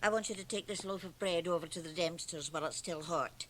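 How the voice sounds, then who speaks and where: An actress playing a practical, stern small-town mother who worries over her neighbours, brisk and earnest, in a radio drama. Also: lowish voice, fairly bright tone, close to an everyday voice. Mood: brisk, commanding. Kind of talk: 1980 radio drama acting. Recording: noisy home cassette copy of radio drama.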